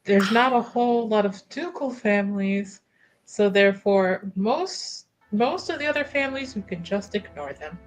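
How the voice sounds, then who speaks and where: A woman's voice: mid-range voice, animated, normal speed, faint music behind, close to an everyday voice, poor audio. A woman voicing a wry young noblewoman in a casual tabletop roleplaying game. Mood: wry, explaining.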